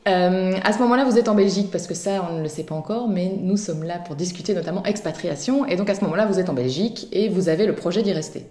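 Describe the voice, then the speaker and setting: A woman's voice: on the low side, fast, few pauses, mellow, reverberant. A woman hosting an interview podcast, asking questions in a friendly way. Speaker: podcast host.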